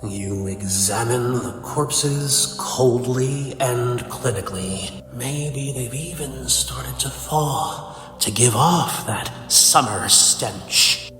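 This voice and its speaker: A man's voice: medium pitch, very slow. A man playing a cold, bitter, cerebral supervillain in a comic dub, speaking in a theatrical, condescending, verbose manner. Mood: cold, musing. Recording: echoey hall reverb, faint music behind.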